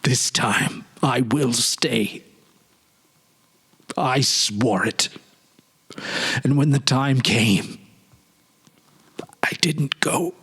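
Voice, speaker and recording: Man acting a breathy, haunted middle-aged politician. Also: medium pitch, bright, forceful tone, pitched above the performer's own voice, heavily acted. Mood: anguished regret. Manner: halting, sorrowful, dramatic delivery. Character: weary, haunted forty-year-old British politician confessing regret. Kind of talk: dramatic literary audiobook reading.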